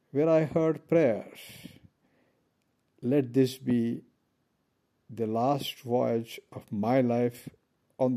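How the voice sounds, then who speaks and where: A man from India giving a pointed, measured speech from a conference podium. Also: medium pitch, warm tone. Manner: measured, pointed, with pauses between phrases. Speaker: conference speaker.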